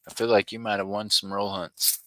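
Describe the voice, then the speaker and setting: Man, forties, low bright voice, rambling live-stream chat, dry close sound. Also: level tone, brisk, no echo. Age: 40s. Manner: rambling, chatty, talks to viewers by name. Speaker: coin roll hunter streaming live.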